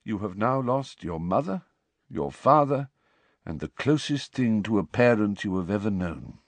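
A man voicing a calm, kindly old headmaster wizard who speaks quietly and gently. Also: fairly low pitch, moderate pace, dry recording.

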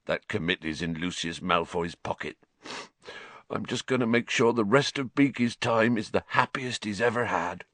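A man voicing a big, gentle half-giant who speaks in a rough country dialect, low and mournful. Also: moderate pace, no room sound. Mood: sad.

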